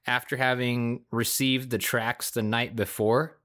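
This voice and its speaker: A man co-hosts a podcast in a middle-pitched voice. He talks informally and with enthusiasm, in the manner of a relaxed conversation. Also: unhurried. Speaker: podcast co-host. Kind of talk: casual chat.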